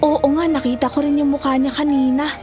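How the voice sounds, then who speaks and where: A high, girlish voice from an actress plays a caring young Filipina student in an audio drama. She talks expressively and conversationally, a loyal friend, with music underneath. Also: little pitch movement, quick pace, natural voice. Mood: reassuring. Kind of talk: Tagalog audio drama acting.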